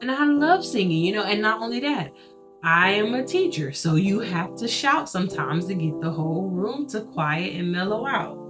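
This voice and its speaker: Earnest woman with a loud, carrying voice. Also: mid-range voice, animated, moderate pace. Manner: earnest and emphatic, with rhetorical asides. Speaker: churchgoer sharing a personal testimony. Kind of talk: casual faith storytelling. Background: soft guitar music.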